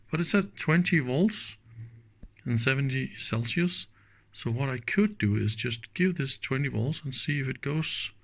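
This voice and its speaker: A man with a mid-pitched voice commentates on an electronics teardown. His delivery is very slow and halting, as he thinks aloud in short pieces while examining parts. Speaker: host of an electronics teardown channel.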